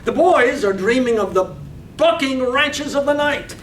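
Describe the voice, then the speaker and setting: A man narrating a poetic play from a script with hushed, deliberate, measured phrasing. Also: lively intonation. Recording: roomy stage, far mic, faint rumble.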